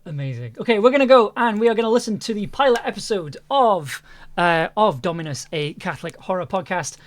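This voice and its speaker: A woman's voice: mid-range voice, lively intonation, brisk. A woman speaking as a livestream host, informally signing off and handing over to the next segment. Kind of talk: casual show sign-off.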